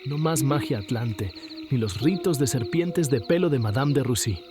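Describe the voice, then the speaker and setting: A man's voice: mid-range voice, expressive, moderate pace, over music, natural voice. A man playing a disdainful, impatient artist with an occult obsession in an audio horror story. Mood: scornful, impatient. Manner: disdainful, sarcastic.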